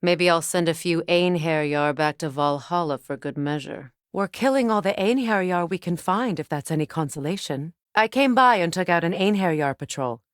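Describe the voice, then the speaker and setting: A woman performs a battle-hardened goddess and sorceress for a dramatic video game. Her voice sits low for a female, recorded close and dry. She speaks in a measured, pointed way, as a wary ally who keeps her guard up. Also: expressive, no room sound, close to an everyday voice. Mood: calm, practical.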